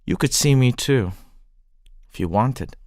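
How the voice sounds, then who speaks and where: A man's voice: medium pitch, expressive, unhurried, a little mellow, no room sound, studio quality, natural voice. A man playing an intense young man drawn to a stranger in a contemporary audio drama, speaking earnestly and intimately. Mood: earnest, urging.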